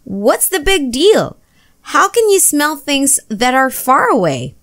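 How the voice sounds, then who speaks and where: Woman playing a curious, high-voiced little boy. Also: big pitch swings, slow, a bit bright, full character voice. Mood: puzzled, curious. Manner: exclaiming, questioning. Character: curious, excitable little boy in a science story.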